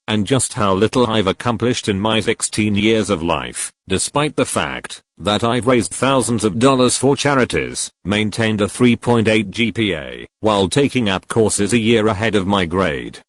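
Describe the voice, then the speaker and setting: A man narrating forum stories, reading each post straight through in one steady voice. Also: on the low side, a little slow, dry recording. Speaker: narrator reading online posts.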